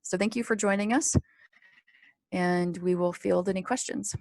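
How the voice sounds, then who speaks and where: A woman presenting a training webinar in an informal, explanatory way, with a halting, pause-filled delivery. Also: on the low side, fairly even intonation, a little mellow, dry recording. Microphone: conference call or webinar microphone.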